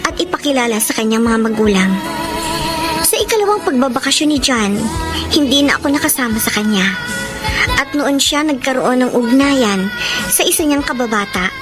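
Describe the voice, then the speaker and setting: A young woman reading out her letter of heartbreak for a radio drama. Her high voice is measured and deliberate, with music clearly playing beneath it. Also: animated. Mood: wistful. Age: young adult.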